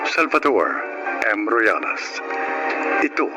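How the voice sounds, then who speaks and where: A man with a Filipino accent announcing a radio drama in a formal, deliberate and dramatic scripted style. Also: lowish voice, big pitch swings. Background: music.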